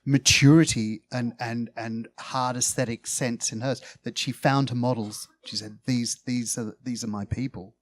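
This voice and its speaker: A man with a mid-pitched voice talks as part of a literary panel. His speech is learned and considered, and he often hesitates to find the right phrase. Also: steady tone, moderate pace, hardly any pauses. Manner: erudite, hesitant, searching for words.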